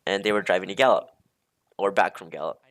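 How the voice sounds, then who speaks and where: A young man with a slightly low voice tells personal stories casually. He talks in a loose, halting way, doubling back and losing his thread, with "like" scattered through his sentences. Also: fairly even intonation, dry recording. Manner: hesitant, halting, easily distracted, heavy use of "like". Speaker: storyteller. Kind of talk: casual rambling storytime.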